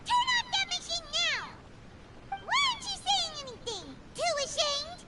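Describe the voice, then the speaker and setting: A woman playing a small, excitable fairy girl in an anime game, with a squeaky childlike voice and dramatic exclamations. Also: moderate pace, distant mic. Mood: indignant. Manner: exclamatory, dramatic, speaks of herself by name. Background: game music.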